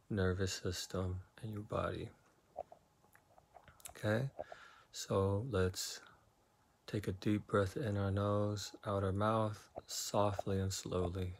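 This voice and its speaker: Male meditation guide, very slow delivery with long pauses, lowish pitch, soothing. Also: a little hiss or hum.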